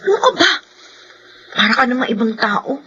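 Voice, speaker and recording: A reassuring younger sister. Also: female voice, a little high, big pitch swings, a little fast, slightly rough, exaggerated voice. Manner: reassuring, warm. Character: supportive younger sister, an online teacher. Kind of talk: radio drama acting. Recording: dull lo-fi radio with faint noise.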